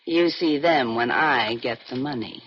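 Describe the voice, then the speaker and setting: A woman's voice: a bass voice, measured pace, fairly bright tone. An actress playing a scheming, blunt woman blackmailer in an old radio drama. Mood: cool, grasping. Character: scheming woman blackmailer selling negatives for a fortune. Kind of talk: 1940s-50s radio drama acting. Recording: dull, noisy old radio recording.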